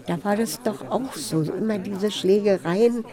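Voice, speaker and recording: An actress playing a chatty, mildly fretful older housewife in naturalistic radio drama, speaking haltingly and seeking agreement with short tag questions. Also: female voice, mid-range voice, mellow, natural voice. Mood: uneasy, reminiscing. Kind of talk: naturalistic, improvised-sounding radio drama dialogue.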